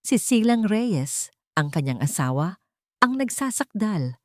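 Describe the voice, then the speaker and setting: A woman's voice: mid-range voice, big pitch swings, measured pace, no echo. A woman with a Filipino accent reading a scripted, dramatic love story as its narrator.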